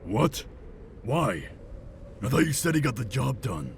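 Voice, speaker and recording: Audio drama goon: whiny, dim male sidekick, fry-laden, very animated, noisy backdrop. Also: medium pitch, a little slow. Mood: baffled, scornful. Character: grumbling foul-mouthed male henchman, whiny and dim.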